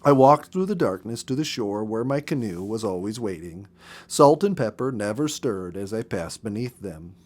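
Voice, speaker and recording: A man reading a story aloud as a storyteller, with expressive, unhurried phrasing and dramatic pauses. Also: on the low side. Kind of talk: expressive narrated audiobook reading.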